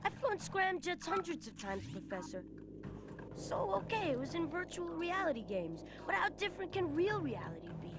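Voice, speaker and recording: Very high, cocky young pilot. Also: female voice, moderate pace, noisy background. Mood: cocky, excited. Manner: brash, excitable. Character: cocky, reckless young pilot kid, gamer slang. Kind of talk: animated adventure series acting.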